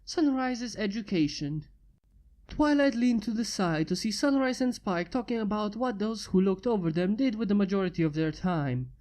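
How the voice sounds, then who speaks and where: A reader narrating a fanfiction story in a steady, plain way. The delivery is even and unhurried, of middle pitch, without a performed voice. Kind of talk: fanfiction reading.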